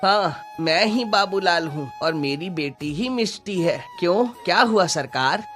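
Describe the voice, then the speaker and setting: A man's voice: high voice, expressive, measured pace, fairly bright tone, soft background music. A man voicing a worried, humble, conscientious fisherman in a Hindi cartoon story, earnest and deferential.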